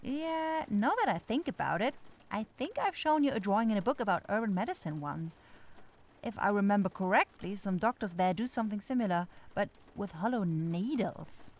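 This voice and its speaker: A woman's voice: medium pitch, animated, moderate pace, darkish tone. A woman playing a flustered, scatterbrained but caring young forest witch who dithers and searches for words in a fantasy roleplay audio drama. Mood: pleased, explaining.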